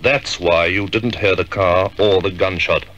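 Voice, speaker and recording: Middle-aged police superintendent, very low voice, measured and commanding, old hissy radio serial. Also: male voice, fairly even intonation, fairly bright tone, muffled recording. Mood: grave, firm. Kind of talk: 1950s radio drama acting. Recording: hissy 1950s radio drama recording.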